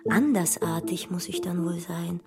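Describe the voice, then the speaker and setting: Woman acting a pensive mathematician over loud music. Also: lowish voice, measured pace. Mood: wistful. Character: pensive, questioning woman mathematician facing a daring experiment. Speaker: performer in an experimental audio drama. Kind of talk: poetic theatrical dialogue with musical repetition.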